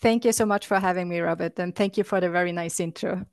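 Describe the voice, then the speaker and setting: A woman's voice: fairly low pitch, steady tone, fast, a little mellow, clean tone, dry recording, studio quality. A woman from Austria, a guest entrepreneur answering interview questions in a hesitant way, with many fillers and restarts.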